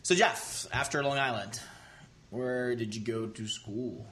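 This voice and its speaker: A man's voice: medium pitch, unhurried, a bit bright, a little hiss or hum. A man co-hosting a podcast, asking upbeat questions in casual chat. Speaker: guest interviewer, podcast co-host.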